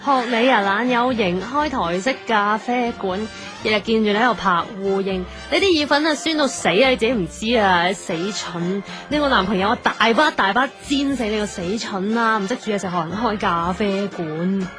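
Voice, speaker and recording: Actress as bossy, sharp-tongued Hong Kong tomboy. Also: female voice, mid-range voice, normal speed, close to an everyday voice. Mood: scornful. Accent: Hong Kong Cantonese. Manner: blunt, scolding, casual. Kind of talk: audio drama dialogue. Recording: prominent music behind the voice.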